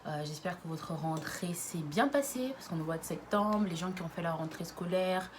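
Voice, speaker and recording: A woman's voice: fairly low pitch, normal speed, background noise. A woman in her twenties from around Lyon, chatting casually to her camera with frequent fillers and giggles. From Lyon area, France. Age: 20s. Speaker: YouTuber. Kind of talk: casual vlog chat.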